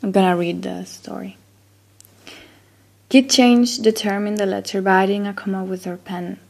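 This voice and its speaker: A woman's voice: medium pitch, fairly even intonation, moderate pace, creaky, a little mellow. A woman from Chile, a native Spanish speaker with a Chilean accent, reads a word list casually and a bit unsure, trailing off with fillers. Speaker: accent-tag vlogger.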